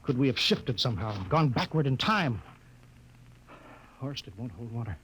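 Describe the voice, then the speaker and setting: An actor with an American accent playing a skeptical, authoritative spaceship captain in a 1950s radio drama. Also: male voice, mid-range voice, big pitch swings, normal speed, fairly bright tone. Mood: baffled, insistent. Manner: authoritative, clipped orders. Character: commanding, skeptical male spaceship captain insisting on logic. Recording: noisy old 1950s radio recording.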